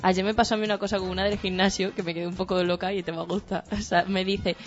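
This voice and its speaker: A woman on a radio chat rattles off a gossipy anecdote, acting out how she reacted. She talks fast at a middle pitch. Faint music hums under a muffled, lo-fi sound. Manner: quick, gossipy, expressive. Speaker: radio co-host. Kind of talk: casual storytelling.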